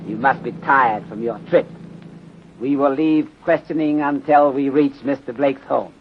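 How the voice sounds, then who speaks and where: An actor playing a polite, patient police inspector in a 1930s radio serial, speaking formally and measuredly with clipped grammar that drops articles. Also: male voice, mid-range voice, a little slow. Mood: courteous, considerate. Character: polite, patient Chinese-born police detective from Honolulu. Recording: muffled, noisy 1930s radio recording.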